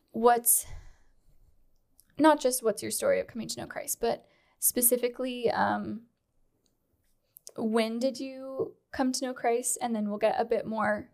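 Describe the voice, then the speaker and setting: A woman's voice: fairly high pitch, creaky, a little mellow. A woman in her twenties hosting a podcast, interviewing casually into a close studio microphone. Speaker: podcast host. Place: small indoor podcast studio. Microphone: close boom-arm studio microphone.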